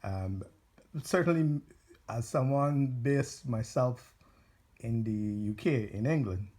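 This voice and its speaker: Slow, halting man. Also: mid-range voice, darkish tone. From Barbados. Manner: halting, deliberate phrasing. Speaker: interviewer. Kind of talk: informal interview questioning.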